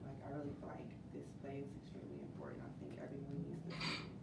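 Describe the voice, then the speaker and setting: Female cast member, deep register, sincere emphatic chat, roomy noisy recording. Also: big pitch swings, racing, gravelly. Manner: earnest, emphatic, repeats words for stress. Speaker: new stage play cast member. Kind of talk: casual chat, reflective. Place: indoor room with a group. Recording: echoey room, distant mic, noisy ambience.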